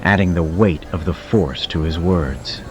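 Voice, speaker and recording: A man's voice: low voice, unhurried, dull audio, noisy background. A man giving dramatic scripted narration of a fiction audiobook. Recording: studio narration over cinematic music.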